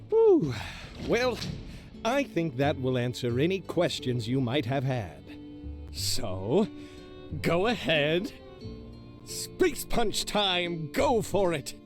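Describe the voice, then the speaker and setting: An actor playing a pompous, boastful self-styled hero in a video game, with grandiose, drawn-out delivery and insecurity underneath. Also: male voice, fairly high pitch, exaggerated voice. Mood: bombastic. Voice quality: theatrical, exaggerated delivery. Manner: grandiose, mock-heroic, drawn-out emphatic phrasing.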